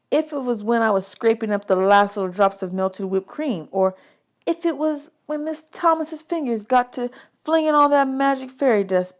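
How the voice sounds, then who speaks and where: A woman reading a children's novel aloud as an expressive storyteller, drawing out words for emphasis. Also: on the high side. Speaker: teacher reading a book aloud. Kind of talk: expressive audiobook read-aloud of a children's novel in first person.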